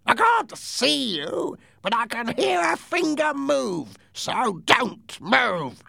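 A man voicing a sinister, commanding, ugly blind beggar in a high, creaky, strained voice for a storybook. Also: very animated, sharp tone, no room sound, studio quality, exaggerated voice. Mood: harsh, commanding. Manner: slow, drawn-out commands.

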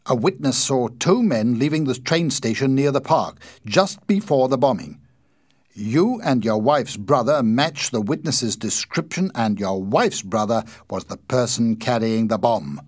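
A man reads as a police chief inspector in an audiobook. He is formal, firm and probing, with a husky edge and lively, emphatic pitch movement. His questioning is measured and insistent. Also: no room sound, clean studio sound. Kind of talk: audiobook character dialogue.

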